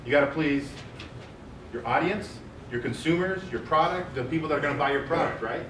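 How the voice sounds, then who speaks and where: A man with a loud voice giving a chatty panel talk and putting questions to the audience. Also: fairly high pitch, brisk. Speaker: panel speaker. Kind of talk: conference presentation. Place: large office meeting room. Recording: echoey room, distant mic, noisy.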